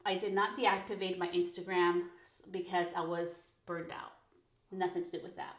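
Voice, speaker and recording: A woman in her thirties hosting a personal podcast, talking frankly and casually, full of "like" and quick laughs. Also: lowish voice, level tone. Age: 30s. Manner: chatty, frank, peppered with "like", laughs often. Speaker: podcast host. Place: her bedroom recording corner. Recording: echoey home room.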